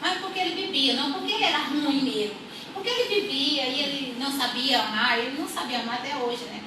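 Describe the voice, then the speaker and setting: High-voiced woman giving testimony in an echoey room. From rural Brazil. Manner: unhurried, repeats phrases, many filler "né". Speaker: church testimony speaker. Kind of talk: personal testimony. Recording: echoey room, far from mic, audible noise.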